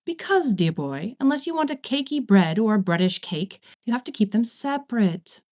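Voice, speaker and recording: A woman's voice: on the high side, sing-song, normal speed, darkish tone. A woman voicing a fussy, old-fashioned male angel with prim, formal phrasing in a story reading.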